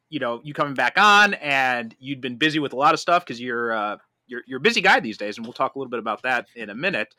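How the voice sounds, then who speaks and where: A man with a bright, forward voice, pitched a little high. He hosts a podcast in casual conversation, recorded dry and close. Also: dry recording. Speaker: podcast host.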